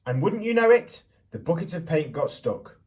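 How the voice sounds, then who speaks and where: Animated man reading a children's storybook, slightly distant. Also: fairly high pitch, moderate pace. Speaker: primary school teacher reading aloud. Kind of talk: expressive children's storybook reading with questions to listeners.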